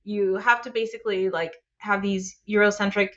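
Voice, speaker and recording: A woman's voice: medium pitch, fairly even intonation, moderate pace, fairly bright tone, dull audio. A woman speaking earnestly in a casual podcast chat, checking in with "right?". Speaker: podcast panelist.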